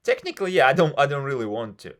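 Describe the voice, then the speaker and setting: Russian-accented man, mid pitch, frank rambling talker on a video call, lots of "you know". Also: very animated, fast talker, a bit bright. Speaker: civil engineer. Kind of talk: casual conversation practice.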